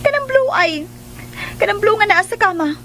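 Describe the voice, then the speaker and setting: An actress playing an excitable, whiny young household woman in a Philippine radio drama, with a Filipino accent. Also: very high-pitched, moderate pace, slight background noise, heavily acted, rough recording. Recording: music behind the voice.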